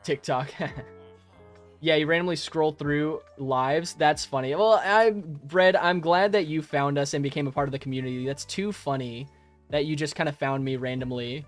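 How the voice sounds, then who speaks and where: A man's voice: on the high side, normal speed, faint music behind. Chatty young man live streaming. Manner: friendly, rambling, laughs often, many fillers and restarts. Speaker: live streamer answering chat. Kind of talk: casual live-stream chat while gaming. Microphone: modest home microphone.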